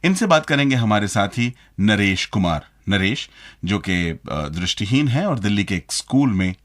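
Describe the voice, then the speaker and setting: A man's voice: lowish voice, very animated, normal speed, a little hiss or hum. A man co-hosting a radio show, presenting from a script in a polite, conversational way. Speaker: radio co-host.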